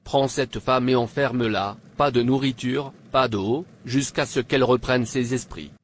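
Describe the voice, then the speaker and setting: Casting: male actor as haughty cartoon monarch, commanding delivery, medium pitch, some background noise. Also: measured pace, close to an everyday voice. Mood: haughty, threatening. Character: haughty, threatening pagan king, later fearful and pleading. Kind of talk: dubbed animated religious drama.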